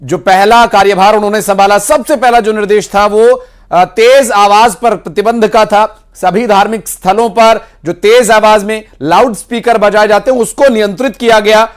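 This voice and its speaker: A man's voice: sits high, steady tone, normal speed, clean tone. A man presenting news, recapping and explaining government decisions in long, wordy sentences.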